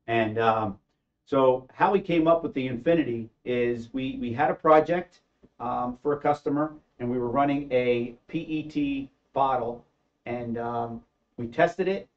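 A man's voice: mid-range voice, unhurried, muffled recording. A middle-aged man, an engineer, explaining equipment in a casual panel talk with many ums and uhs. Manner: hesitant, many fillers. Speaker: company engineer, co-inventor. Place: studio set with backdrop.

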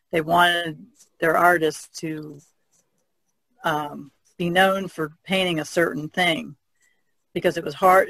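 A woman's voice: low-pitched, gravelly, fairly bright tone, dry recording, poor audio. A woman speaking as a podcast co-host in a casual, conversational discussion.

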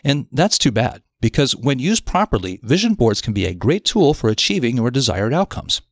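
A man reading a scripted self-development article aloud in a measured, articulate, explanatory manner. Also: mid-range voice, very animated, no echo, clean studio sound. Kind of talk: scripted narration of an audio article.

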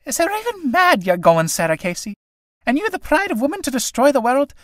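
A woman's voice: mid-range voice, lively intonation, moderate pace, dry recording, studio quality, voice pushed up, natural voice. A woman reading a play aloud and voicing a sly, drink-loving old tinker woman with a mocking, wheedling manner. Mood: scornful.

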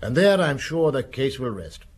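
An actor in a British radio drama playing a curt, self-assured French official who speaks with formal, assertive courtesy. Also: male voice, mid-range voice, sing-song, normal speed, breathy edge. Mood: confident, dismissive.

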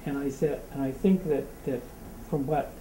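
A man speaks up at a book discussion, stopping and restarting as he works through his point. His voice is soft and mellow and heard from across a roomy hall. Light noise runs underneath. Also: mid-range voice, steady tone. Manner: halting, many restarts and fillers. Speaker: audience member. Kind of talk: casual discussion. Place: community meeting room. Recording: echoey room from a distance, faint noise.

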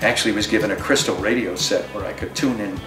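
A man's voice: on the low side, fast. A middle-aged man from New York State with an American accent, reminiscing in an interview. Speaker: radio company president, interviewee. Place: indoors, office. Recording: roomy, distant mic, music behind.

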